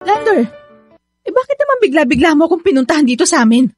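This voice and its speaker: A woman's voice: very high voice, very animated, fast, over loud music. An actress playing a young woman in a radio drama, emphatic and easily exasperated. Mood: puzzled, uneasy. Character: exasperated, firm young woman rejecting her ex-lover.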